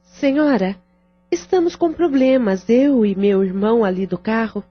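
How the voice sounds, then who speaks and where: Woman playing a composed sister, husky and higher-pitched. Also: moderate pace, lightly acted. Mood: composed, polite. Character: composed, practical, supportive adult sister. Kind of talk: audio drama dialogue. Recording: dull lo-fi audio with music underneath.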